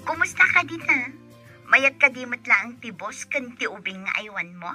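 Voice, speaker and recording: A woman as an excitable gossiping friend. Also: on the high side, soft background music, exaggerated voice. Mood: alarmed. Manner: exclaiming, gossipy, quick to alarm. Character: excitable young woman friend calling a nanny.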